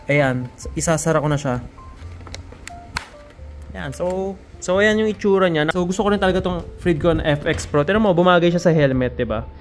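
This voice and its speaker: A Filipino man talking casually to viewers in a conversational product review vlog, as a motovlogger. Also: on the high side. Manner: conversational Taglish, addressing viewers.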